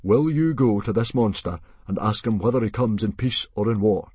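A man voicing a gruff, self-important Viking chief who speaks in a loud, commanding way. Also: animated, moderate pace, no echo. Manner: booming, commanding.

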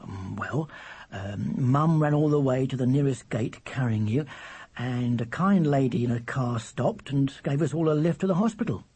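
A man's voice: mid-range voice, animated, moderate pace, warm tone, breathy edge, dry recording. A man voicing a schoolboy older brother in a storybook, kindly, hesitant and a little lofty. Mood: kindly, reserved.

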